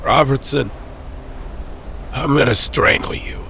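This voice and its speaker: A man's voice: medium pitch, slow pace, vocal fry, pushed and bright, a little hiss or hum, exaggerated voice. A man playing a bitter, domineering villain doctor in an audio drama, injured, in pain and making indignant demands. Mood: furious. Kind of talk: scripted audio drama acting.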